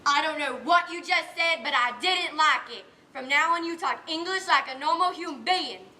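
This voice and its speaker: A woman acting a gruff, hard-bitten farm wife on stage, blunt and rustic in her speech, projecting to the house. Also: sits high, normal speed, pushed and bright. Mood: gruff, sardonic. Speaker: student actor in a one-act play. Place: indoor stage, recorded live. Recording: roomy stage recording, faint background noise.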